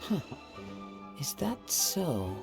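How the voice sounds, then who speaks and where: A man playing a calm, courteous young swordsman investigator in a video game, speaking in a measured, polite way. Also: a little high, exaggerated voice. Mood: serious, measured. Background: music.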